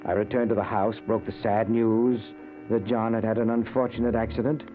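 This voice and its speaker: Actor as fanatical uncle on tinny old radio. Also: male voice, on the low side, level tone, fairly quick, soft background music, lightly acted. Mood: calm narrating. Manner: grandiose, insistent, theatrical. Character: fanatical uncle obsessed with contacting the dead, coldly murderous. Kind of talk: 1940s radio drama acting and narration.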